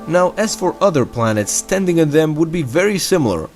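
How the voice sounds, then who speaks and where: A man's voice: on the high side, big pitch swings, fairly quick, darkish tone. A man giving scripted educational narration in a plain-spoken, explanatory way. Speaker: narrator of an astronomy explainer video. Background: background music.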